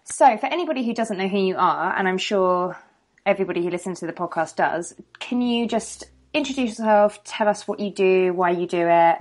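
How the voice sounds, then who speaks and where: A woman hosting a podcast, chatty and quick to laugh. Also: medium pitch. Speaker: podcast host. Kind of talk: casual interview chat.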